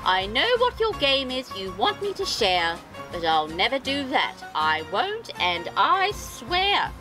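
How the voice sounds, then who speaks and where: A selfish, grumbling storybook pug as a woman storyteller puts him on. The voice is high for a male dog and lurches up and down with possessive bluster over music. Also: wildly swooping pitch, slow pace, full character voice, poor audio. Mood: grumpy, indignant.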